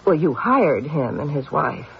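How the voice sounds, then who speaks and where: Stubborn police detective, high voice, lively intonation, deliberate, radio mystery drama, hissy old recording. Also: male voice, vocal fry, dull audio, exaggerated voice. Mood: politely probing. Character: stubborn, intuitive police detective, politely needling suspects. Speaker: actor.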